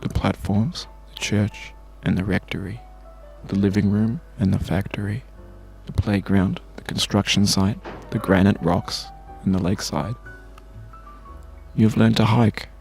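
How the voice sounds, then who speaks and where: A man giving calm, measured scripted narration for a game, with a gravelly, husky voice. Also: on the low side, soft background music.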